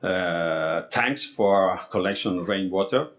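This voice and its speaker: Low-voiced man presenting in non-native English. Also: a bit bright. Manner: halting, stumbles over words and repeats them. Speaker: architect presenting a project. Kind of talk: formal scripted conference presentation.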